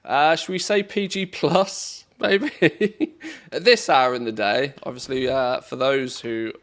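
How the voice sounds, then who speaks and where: A man with an English accent giving relaxed, chatty commentary on a live game stream, reacting to play and greeting viewers. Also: mid-range voice, slow pace. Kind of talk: casual live-stream commentary while gaming.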